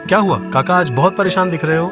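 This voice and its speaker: A man's voice: sits high, fast talker, music in the background, close to an everyday voice. A man playing a helpful older village friend in a Hindi cartoon story, reassuring and confident. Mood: concerned. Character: helpful old childhood friend of the farmer.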